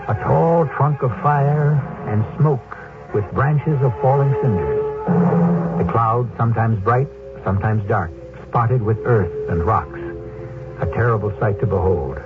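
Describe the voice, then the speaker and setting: A man hosting a radio drama, narrating in a measured, solemn way. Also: medium pitch. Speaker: host and narrator. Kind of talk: scripted narration. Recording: tinny old radio broadcast with music.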